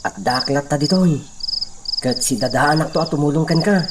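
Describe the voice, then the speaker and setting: A man's voice: a little high, normal speed, distant mic, natural voice. A man playing a loyal, caring friend who offers help, speaking expressively and emphatically in a radio drama. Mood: reassuring. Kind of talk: Philippine radio drama acting. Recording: loud crickets and insect noise.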